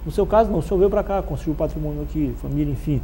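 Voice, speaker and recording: A Brazilian man with a husky voice, hosting a television talk show in a chatty presenting style. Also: a little high, racing, dark tone, a little hiss or hum, low-quality recording. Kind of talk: live show presenting with sponsor read and chatty interviewing.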